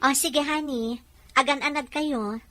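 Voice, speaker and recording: Woman acting a high-voiced, tender Filipino wife. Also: steady tone, a little slow, noisy background. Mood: affectionate. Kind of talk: Filipino audio drama acting.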